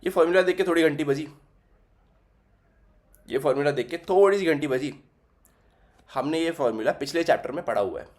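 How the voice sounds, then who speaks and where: A man's voice: a little high, fairly quick, long pauses, darkish tone. A young man teaching a finance class, working through the numbers aloud and repeating figures as he goes, switching between Hindi and English. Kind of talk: recorded finance lesson.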